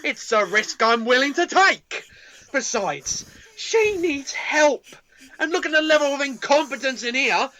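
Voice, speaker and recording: Woman acting high-voiced, furious, defiant train passenger. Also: animated, a little slow, pushed and bright, full character voice. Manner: combative, sarcastic. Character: angry, headstrong stranded train passenger, defiant and scornful. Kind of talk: amateur radio play acting.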